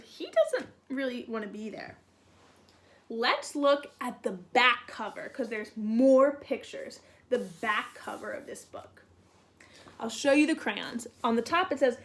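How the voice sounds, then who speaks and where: A high-voiced woman reads aloud and explains things for young children. Her pitch swings a lot and she goes slowly, in simple words with frequent questions. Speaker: children's read-aloud teacher. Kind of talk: explaining and reading a picture book to young children.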